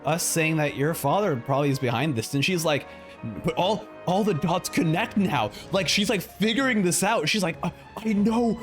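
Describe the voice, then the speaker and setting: A man reacting live to a game in casual, animated commentary, often repeating his phrases. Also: high voice, moderate pace, faint music behind.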